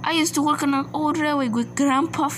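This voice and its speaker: A woman's voice: high-pitched, steady tone, a little fast, lightly acted. A woman voicing a polite, proud young steam engine for a cartoon, giving an earnest introduction. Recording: noisy home recording with hum.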